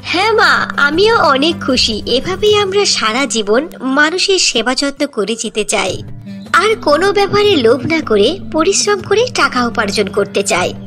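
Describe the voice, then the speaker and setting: A woman voicing a humble, contented village mother, earnest and gentle, in a cartoon fairy tale. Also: sits high, big pitch swings, a little slow, heavily acted. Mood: happy. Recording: music behind the voice.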